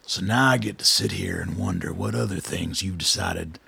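An actor in a radio drama playing a gruff, suspicious older colonel with a gravelly, husky voice and a blunt manner. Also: male voice, sits low, normal speed, bright, forceful tone, dry recording, full character voice. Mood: skeptical. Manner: blunt, skeptical, swearing. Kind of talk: naturalistic radio drama acting.

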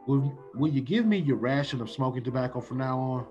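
A man's voice: medium pitch, brisk, a little mellow, natural voice. A man acts out a slangy, streetwise tough guy from an old radio drama. The recording is a bit dull, with music playing underneath. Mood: softened. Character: tough, suspicious streetwise man with a soft streak.

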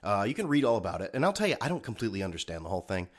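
A man's voice: lowish voice, sing-song, rapid-fire. A man hosting a solo podcast, talking in a sarcastic, rambling way with a slightly stuffed-up nose. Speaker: podcast host.

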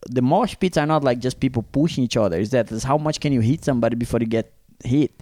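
A young man with a Brazilian accent, co-hosting a casual comedy podcast and telling rambling, excited stories full of swearing. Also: mid-range voice, fast, dry recording. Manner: animated, swearing, rambling storyteller. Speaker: podcast co-host.

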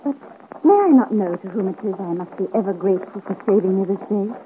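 A woman's voice: mid-range voice, lively intonation, quick pace, natural voice. Actress as refined young noblewoman, old radio sound. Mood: gracious, curious. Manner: refined, formal period diction. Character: lovely, gracious young noblewoman, gently curious. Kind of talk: 1950s radio serial acting. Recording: muffled, hissy old radio serial recording.

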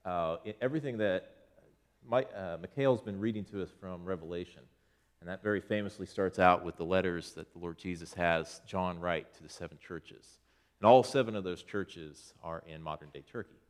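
Male church elder, deep low voice, casual slideshow report with many restarts. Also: normal speed. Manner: conversational, with many restarts, pointing at photos. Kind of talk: informal presentation to a congregation.

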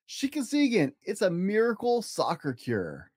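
A man's voice: high voice, wildly swooping pitch, normal speed, darkish tone, breathy edge, no room sound, voice pushed up, full character voice. A man plays a storybook big brother, a sensible boy worn thin by his sister. He is matter-of-fact and teasing, with a raised, very melodic delivery and dry sound. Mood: mock triumph.

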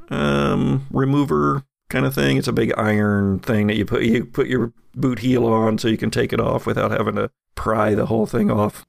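A man running a tabletop game, improvising narration with halting, drawn-out hesitations. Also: on the low side, gravelly, dry recording, clean studio sound. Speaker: game master.

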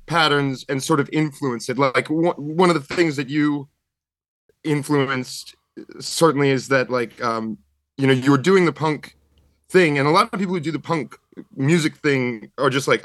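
A man's voice: medium pitch, a bit bright, no echo. A man guesting on a podcast, talking thoughtfully and searching for words, with many fillers. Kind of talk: reflective conversational talk.

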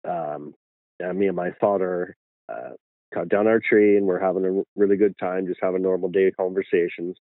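A man with an Irish accent, a guest telling his story slowly with many fillers and restarts. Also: sits low, steady tone, no room sound, narrow, tinny audio. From Ireland.

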